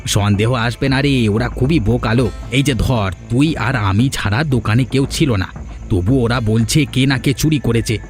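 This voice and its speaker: A man's voice: mid-range voice, normal speed, soft background music, lightly acted. A man voicing a cunning, greedy village thief in a cartoon, conspiratorial and persuasive. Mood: scheming. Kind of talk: cartoon dialogue acting.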